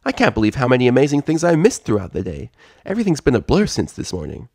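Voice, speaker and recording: An eager, chatty young pony princess from a storybook, acted out by a male reader. The voice is lifted well up in pitch, cheerful and bubbly, in a close, dry recording. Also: huge pitch swings, fast talker, darkish tone, no room sound.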